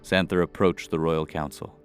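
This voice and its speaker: A man's voice: extremely low pitch, little pitch movement, normal speed, soft background music. A man narrating a scripted fantasy audio drama as its storyteller.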